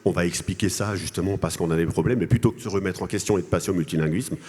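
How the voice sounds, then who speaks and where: A man from Alsace whose mother tongue is Alsatian speaks as a guest on a panel. His voice sits fairly low, and he argues his points haltingly, repeating words as he goes. The big hall around him adds long reverb. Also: a little mellow, slightly rough. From Alsace, France. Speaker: guest speaker, language association president. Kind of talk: panel interview, advocating argument with anecdotes. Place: congress hall. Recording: echoey large hall.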